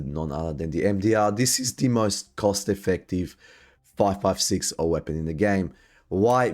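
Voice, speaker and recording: A man's voice: sits low, a little mellow. A man hosting a gaming video, giving upbeat, hyped recommendations with frequent ums while sick and losing his voice. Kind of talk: gaming gear guide commentary.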